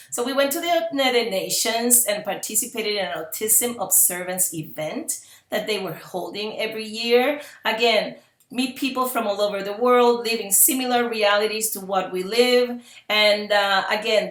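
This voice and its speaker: A woman's voice: mid-range voice, a bit bright. A woman, giving an earnest public presentation as a parent advocate and repeating phrases for emphasis. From Puerto Rico. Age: 50s. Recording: roomy echo.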